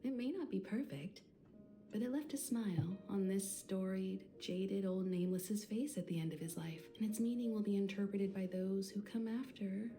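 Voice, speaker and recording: Woman as calm wise game mentor lady, mid pitch, philosophical, music behind her. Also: normal speed, natural voice. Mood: solemn, reflective. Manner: composed, philosophical. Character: wise, gentle adult woman mentor in a space adventure. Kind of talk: video game voice acting. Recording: game audio under music.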